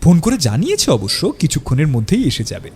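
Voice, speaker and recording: A male narrator reads a scripted audio story. His voice is mellow and a little husky, with lively, dramatic intonation. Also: fairly high pitch, quick pace.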